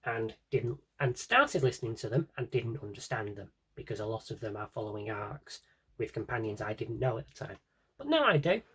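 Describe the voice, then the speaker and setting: Husky-voiced man chatting off the cuff. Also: on the low side, sing-song, fairly quick, a bit bright. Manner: self-interrupting, wry, thinks aloud. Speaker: collector showing his audio drama CDs. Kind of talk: casual rambling vlog chat.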